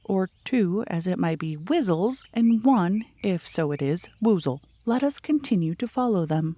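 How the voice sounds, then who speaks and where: Woman playing a mellow, earnest, pondering bear. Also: lowish voice, expressive, no room sound. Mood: earnest deduction. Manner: deliberate, mysterious, puzzled musing. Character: slow-witted, earnest, pondering male toy bear.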